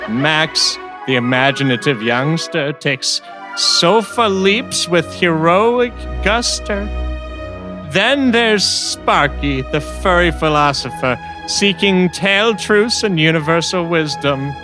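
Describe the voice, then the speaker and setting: A male narrator reads a playful scripted promo. His delivery is theatrical and slow, with lively swings in pitch. Music plays clearly behind him. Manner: theatrical, whimsical trailer delivery.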